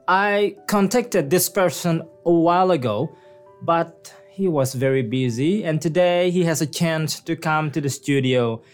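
Higher-voiced man, halting studio interviewer. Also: clean tone. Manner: halting, many fillers and restarts, laughs easily. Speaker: executive producer and interviewer. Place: radio studio.

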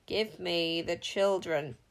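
Woman voicing a slow, guttural monstrous witch king. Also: medium pitch, animated, creaky. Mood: crooning menace. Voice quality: harsh, grating, guttural. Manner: slow, drawn-out delivery. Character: monstrous feathered male witch king, menacing, crooning, hungry.

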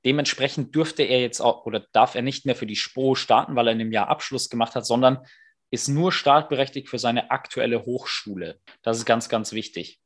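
A man co-hosting a casual podcast, explaining the rules step by step with frequent fillers. His voice has an ordinary middle pitch. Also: fairly quick. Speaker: podcast co-host, tournament organizer.

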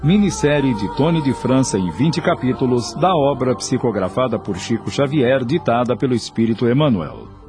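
A man narrates a radio drama in a solemn, literary manner. His voice sits at a medium pitch, with music clearly playing behind it. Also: animated, moderate pace.

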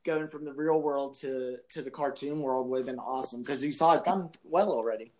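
A man's voice: medium pitch, a little fast, a little mellow, slight background noise, poor audio. A man co-hosting a casual film podcast, reacting with incredulous interjections. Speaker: podcast co-host. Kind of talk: casual chat about films.

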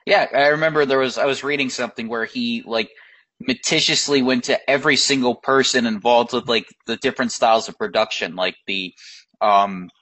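A man's voice: mid-range voice, sharp tone, husky, rough recording. Rambling man chatting about movies. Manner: rambling, many fillers and restarts. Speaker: podcast co-host. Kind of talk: casual chat about films.

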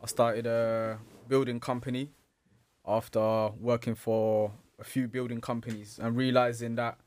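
A man's voice: mid-range voice, flat delivery, measured pace, darkish tone, dry recording. A man from Somalia, explaining himself casually as a podcast guest. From Somalia, moved to UK at eight. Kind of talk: casual explanatory talk about own life.

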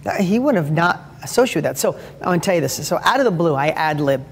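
A man with a strikingly high-pitched, light voice talks in an energetic, rambling way, often restarting his sentences. He tells stories with real enthusiasm while he gives motivational advice as a host. A faint hum of background noise sits under him. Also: fast talker. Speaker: host and father giving advice. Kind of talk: motivational talk with storytelling.

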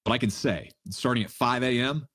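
A man in his thirties hosting a casual livestream Q&A, answering listener questions in a relaxed, rambling way and laughing often. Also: mid-range voice, fairly quick, husky, no room sound, clean studio sound. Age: 30s. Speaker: livestream Q&A host. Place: home in the mountains.

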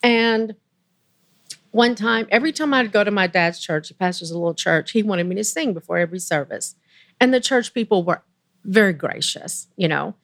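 A woman with a low voice telling a personal story as an interview guest, earnest and emotional, with pauses and restarts. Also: lively intonation, a bit bright.

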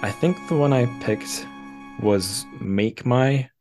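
A man's voice: mid-range voice, slow pace, over loud music. A man hosting a podcast, talking casually and rambling with lots of fillers and self-deprecating asides. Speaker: podcast host. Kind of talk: casual chat.

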